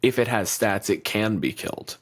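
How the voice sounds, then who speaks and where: A man's voice: fairly low pitch, animated, moderate pace. A man co-hosting a podcast in casual chat, blunt and profane, riffing with lots of energy. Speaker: podcast co-host.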